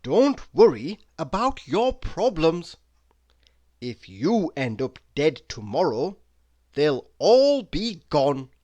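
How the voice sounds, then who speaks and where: Someone reading joke motivational quotes out loud, super slow and dramatic, voice moves around a lot. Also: mid-range voice, big pitch swings. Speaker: reader of quotes.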